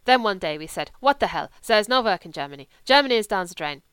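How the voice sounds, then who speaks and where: Woman playing a louche young German with a lisp, loud, broken English, clean dry sound. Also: medium pitch, lively intonation, fast, clean tone, dry recording, close to an everyday voice. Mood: offhand. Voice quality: lisp from a missing tooth. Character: lolling young German man, wolfish and louche.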